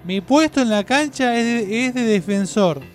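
A man's voice: very high voice, expressive, normal speed, soft background music. A man with a Rioplatense Argentine accent hosting a radio show in a casual, chatty style. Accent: Rioplatense Argentine Spanish.

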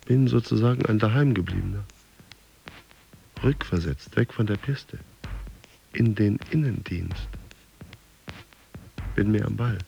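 A man's voice: lowish voice, measured pace, vocal fry, long pauses, dark tone, highs cut off, faint music behind. An actor playing a stressed office worker in a radio play, confiding wry, brooding fragments about his fitness coaching. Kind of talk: radio play monologue, fragmented inner narration.

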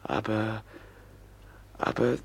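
An actor in a 1950s radio play voicing a gentle, anxious young prince who speaks earnestly and pleads. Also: male voice, on the low side, steady tone, extremely slow, vocal fry, slightly rough, full character voice. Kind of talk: 1950s radio drama acting.

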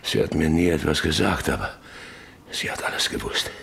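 An actor playing a troubled middle-aged farmer and father in a German radio play, halting and repeating himself as he broods. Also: rumbling low, animated, vocal fry, a bit bright, breathy edge, heavily acted. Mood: haunted, reflective. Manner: halting, repeating himself, half-murmured reminiscing. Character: troubled farmer and father, bedridden, haunted by guilt.

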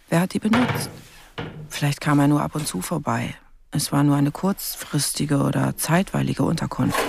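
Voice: mid-range voice, vocal fry. An actor playing a terse investigator in a radio crime drama, listing evidence in clipped phrases and musing aloud in questions. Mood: musing, questioning. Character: terse, probing investigator going through a dead man's things. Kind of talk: radio crime drama, first-person narration.

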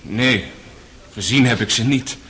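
An actor playing an earnest, polite, devout young son and loyal brother in a radio drama. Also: male voice, medium pitch, measured pace, sharp tone. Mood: earnest, defensive.

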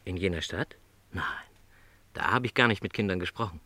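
A man playing a lonely, middle-aged travelling salesman in a radio play, polite, gentle and resigned, reflecting hesitantly. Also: sits low, brisk, no room sound. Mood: wistful, reflective. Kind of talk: German radio play dialogue.

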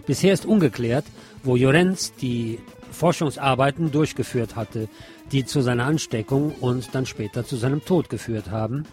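A man's voice: mid-range voice, normal speed, a little mellow, soft background music. A man hosting a radio news programme, reading reports and adding his own commentary. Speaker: radio host.

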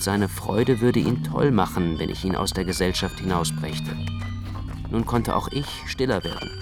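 Low-voiced male literary narrator over music. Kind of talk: literary first-person scripted narration.

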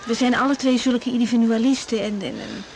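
A woman playing an earnest, caring young doctor in a radio drama, speaking in a hesitant, halting way. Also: on the high side, steady tone, darkish tone, natural voice. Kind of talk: 1980s Dutch radio drama acting. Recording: noisy 1980s radio recording.

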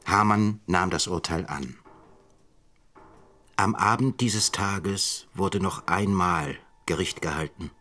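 An actor playing a grave, defiant professor in a radio drama, with a solemn, literary delivery. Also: male voice, low voice, slow pace, bright, forceful tone, full character voice. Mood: grave narration. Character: grave, defiant male professor chronicling a trial.

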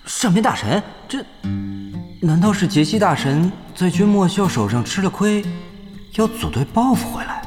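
An actor playing an excitable young guild officer who stammers when flustered in front of his idol. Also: sits high, normal speed, in a large hall, soft background music. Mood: excited. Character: excitable young male guild officer, star-struck fan.

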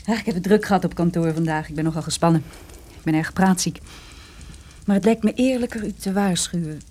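A woman playing a seductive, nervy widow in a radio play, with a husky, breathy voice and a languid, coquettish manner. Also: lowish voice, brisk, mellow, a little hiss or hum, close to an everyday voice.

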